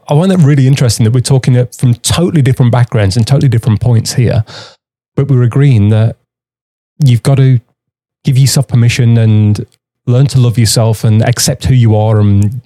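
A male podcast host with a dark, mellow voice, recorded close and dry with no room sound. He chats in an agreeable way, with plenty of fillers, and turns his points into questions for his guest. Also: medium pitch, no room sound. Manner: agreeable, uses tag questions, many fillers. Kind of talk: podcast conversation.

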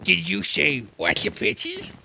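A man voicing a timid, anxious rabbit in a storybook, speaking in a hushed, hurried, nervous way. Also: a little high, huge pitch swings, vocal fry, slight background noise, voice pushed up, full character voice. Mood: frightened.

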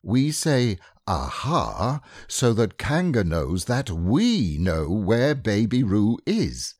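A man's voice: fairly low pitch, wildly swooping pitch, darkish tone, slightly rough, no room sound, clean studio sound, exaggerated voice. A man doing a bossy, fussy storybook rabbit. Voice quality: brisk, precise and self-important. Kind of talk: audiobook character reading.

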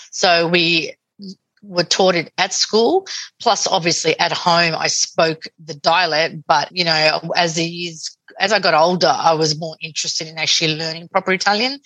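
An Australian woman answers interview questions in a chatty, meandering way. Her voice is low yet bright and forward. The recording is dry and close. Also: no room sound, low-quality recording. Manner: chatty, many fillers and restarts. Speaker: guest, food tour founder.

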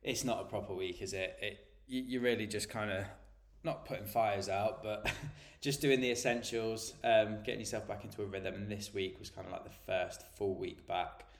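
Coach guy, bunged up with a cold, easygoing podcast chat, fairly low voice, echoey room. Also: fairly even intonation, moderate pace. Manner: chatty, explains things plainly and informally. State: stuffy nose from a cold. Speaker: health and nutrition coach. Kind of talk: solo podcast monologue.

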